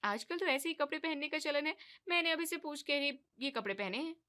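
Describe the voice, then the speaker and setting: A woman's voice: high voice, lively intonation, fairly quick, pushed and bright. A woman voicing a carefree, cheeky young daughter-in-law in a cartoon story, talking breezily and mixing in English words.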